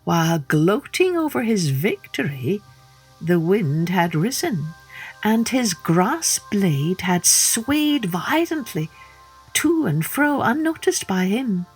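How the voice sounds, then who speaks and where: Slow, theatrical woman storyteller. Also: mid-range voice, sing-song, soft background music, rough recording. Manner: theatrical, drops to breathy hushes, questions the listener. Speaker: storyteller, podcast host. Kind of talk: dramatic children's storytelling narration.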